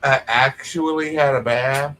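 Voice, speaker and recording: A man's voice: medium pitch, fairly even intonation, unhurried, background noise. Mocking male stream host. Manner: mocking, crude, keeps repeating his catchphrases. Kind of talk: live-stream commentary.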